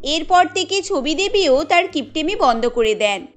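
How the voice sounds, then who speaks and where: A woman's voice: high-pitched, expressive, measured pace, soft background music. A woman narrating a scripted cartoon story.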